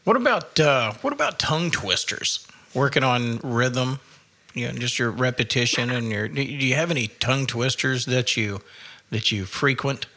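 A man's voice: medium pitch, expressive, moderate pace, pushed and bright. A man hosting a podcast interview, asking conversational follow-up questions. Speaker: podcast host. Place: studio.

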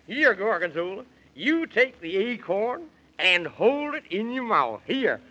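An actor playing a jolly, fussy fantasy guide with folksy exclamations and singsong rhymes in a 1930s children's radio serial. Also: male voice, sits high, big pitch swings, unhurried, sharp tone, full character voice. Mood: bustling, resourceful. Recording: muffled, noisy 1930s radio recording.

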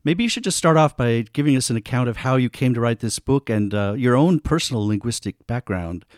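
A man's voice: mid-range voice, animated, a little fast, no room sound, clean studio sound. A man interviewing an author, asking long, winding questions. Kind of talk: podcast interview.

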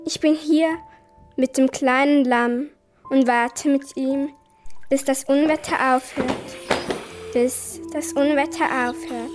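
A woman's voice: sits high, fairly even intonation, slow pace, soft background music. A girl aged ten to twelve reading a scripted story for a radio play. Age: child, 10 to 12. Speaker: young workshop participant reading own story.

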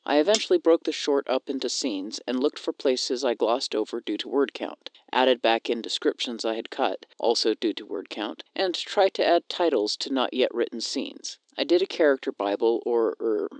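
A man's voice: medium pitch, lively intonation, normal speed, few pauses, dry recording. A man co-hosting a podcast, speaking as if reading a question aloud.

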